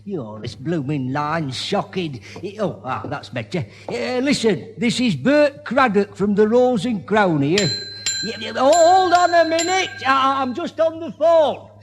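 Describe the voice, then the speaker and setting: Riled pub landlord, northern English accent, high voice, flustered bluster, long reverb, old radio sitcom. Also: male voice, lively intonation, measured pace, slight background noise. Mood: harried.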